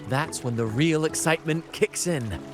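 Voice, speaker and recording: An actor playing a showy, wry young adventurer in a video game, with a teasing, theatrical way of talking. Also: male voice, on the high side, moderate pace, over music, lightly acted. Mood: eager, musing. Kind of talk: video game character acting.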